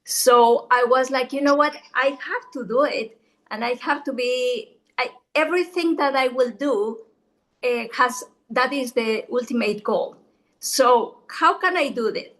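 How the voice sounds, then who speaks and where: A middle-aged woman from Ecuador talks with an Ecuadorian Spanish accent. Her voice sits a little high, and she tells her story earnestly, often restarting her sentences. She speaks as a guest in a relaxed chat. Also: unhurried, poor audio. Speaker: guest realtor. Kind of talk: casual interview chat.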